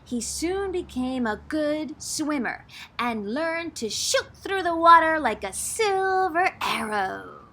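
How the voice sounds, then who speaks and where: A woman reads a picture book aloud in a bright, high voice. Her pitch swoops widely as she stresses words for drama, like a teacher performing for a class. The delivery is theatrical and expressive. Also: slow pace. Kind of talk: expressive storybook read-aloud.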